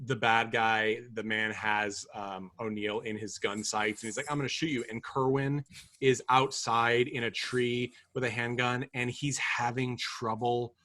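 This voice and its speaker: A man's voice: mid-range voice, level tone, unhurried, sharp tone. A man co-hosting a film podcast, talking casually with wry sarcasm. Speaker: podcast co-host. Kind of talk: casual film review chat.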